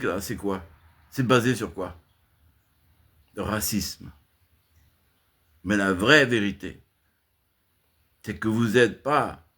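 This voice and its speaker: A man delivering an impassioned spoken rant to his channel, swinging from emphatic high outbursts to low muttered asides, with frequent restarts. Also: a bit bright. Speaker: channel host giving a monologue.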